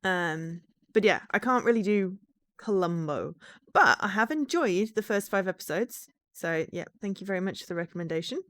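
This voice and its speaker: A woman's voice: medium pitch, lively intonation, normal speed, a bit bright. A young woman from the East Midlands with a fairly neutral English accent, chatting with her live-stream viewers while she plays a game, laughing often and teasing back. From England, East Midlands.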